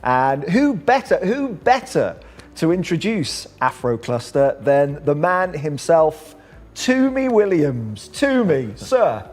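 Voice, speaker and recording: A woman's voice: deep voice, big pitch swings, takes it slowly, darkish tone, soft background music. A woman hosting an online festival, introducing acts and reacting to her co-host with short interjections. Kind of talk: live event hosting.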